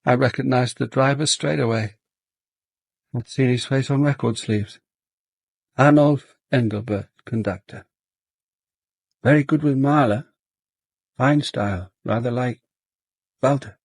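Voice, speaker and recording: Cultured detective, husky mid-range voice, dry musing delivery, close dry radio drama sound. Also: male voice, medium pitch, measured pace, pauses often, no echo. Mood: reflective. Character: cultured, wry, reflective male police inspector.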